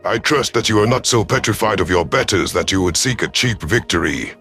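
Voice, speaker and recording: Man voicing a deep, proud alien rebel leader. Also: expressive, gravelly, bright, forceful tone, faint music behind, full character voice. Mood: defiant. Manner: measured, formal, imperious. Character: proud veteran male alien rebel leader, cold and calculating.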